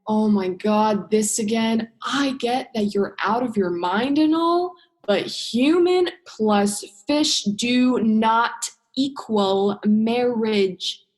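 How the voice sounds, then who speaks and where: A woman's voice: a little high, takes it slowly. A young woman playing an earnest, exasperated human heroine in a radio play, with a direct, emotional delivery. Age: young adult. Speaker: drama student actor.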